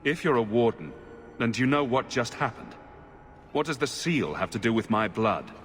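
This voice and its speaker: An actor playing a resolute, questioning heroic adventurer in a video game. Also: male voice, mid-range voice, expressive, normal speed, a bit bright, a little hiss or hum, natural voice.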